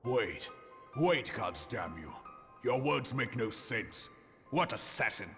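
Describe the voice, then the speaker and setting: A man playing a gruff, loyal army general in a video game, indignant and gravelly, with formal theatrical diction. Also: medium pitch, animated, takes it slowly, a bit bright, big-room sound, faint music behind, heavily acted. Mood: angry, bewildered.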